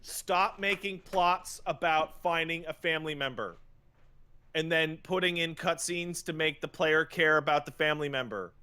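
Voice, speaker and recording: A man's voice: fairly high pitch, normal speed, bright, forceful tone, clear, resonant tone. A man co-hosting a podcast, chatting casually about video games in a blunt, profane, emphatic way. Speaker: podcast co-host.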